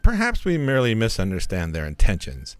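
A man's voice: fairly low pitch, wildly swooping pitch, brisk, clear, resonant tone, no room sound, soft background music. A man playing a pompous, exasperated starship captain in a comedic radio play, stuffy and declamatory. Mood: formal. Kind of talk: comedic radio theatre parody acting.

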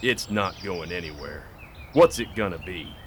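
A man's voice: deep voice, expressive, normal speed. An actor playing a greedy, defiant outlaw henchman in a western radio drama, belligerent and demanding. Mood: defiant, threatening. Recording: outdoor nature ambience behind, faint noise.

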